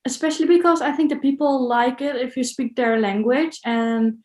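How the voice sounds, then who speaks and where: A woman's voice: high voice, steady tone, normal speed, darkish tone, roomy sound. A woman from the Netherlands with a Dutch accent, answering interview questions in a casual, thoughtful, hesitant way. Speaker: interview guest, solo traveller.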